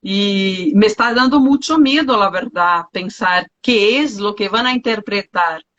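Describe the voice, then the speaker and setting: A woman hosting a casual interview, expressive and exclamatory, thinking aloud with fillers. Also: mid-range voice, a little slow, muffled recording. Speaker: host.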